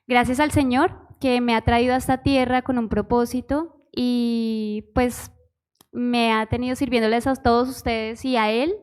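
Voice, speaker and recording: Woman, 20s, bogotá spanish accent, shy, giggly, hesitant, higher voice. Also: level tone, a little slow, clean tone. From Bogotá, Colombia. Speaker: church musician, pastor's wife. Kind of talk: personal testimony. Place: church.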